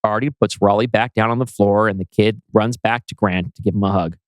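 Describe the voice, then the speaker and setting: A man's voice: on the low side, fairly even intonation, a little fast, no echo. A man reading scripted screenplay narration as writer and narrator.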